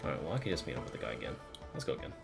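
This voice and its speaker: A man with a very low, gravelly voice gives casual gameplay commentary, half talking to himself. His pitch moves around in a lively way, and loud game music plays behind him. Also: rapid-fire. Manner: profane, muttering to himself. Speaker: game streamer. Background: video game music.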